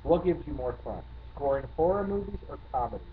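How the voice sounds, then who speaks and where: A man's voice: mid-range voice, lively intonation, brisk, natural voice. A man reading out a sleepy young fan's message in a put-on Polish accent. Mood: earnest. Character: mock-Polish male fan, tired and sleepy. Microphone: video call. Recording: tinny lo-fi line with faint noise.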